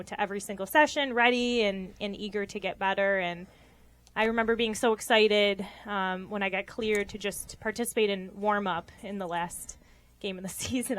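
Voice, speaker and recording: A woman's voice: medium pitch, normal speed, a bit bright, a little hiss or hum. A young woman from Rochester, New York, a physical therapist guest giving earnest interview answers with frequent ums.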